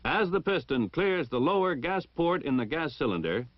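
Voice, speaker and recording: A man's voice: fairly high pitch, big pitch swings, no echo. American man narrating, dull vintage film sound. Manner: formal, precise, measured enunciation. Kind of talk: scripted instructional narration, 1960 military training film.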